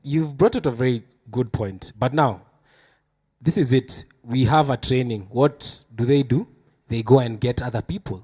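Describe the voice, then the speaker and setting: A man's voice: medium pitch, normal speed, mellow, breathy edge. A Kenyan man moderating a casual panel talk, interjecting and prompting the speakers.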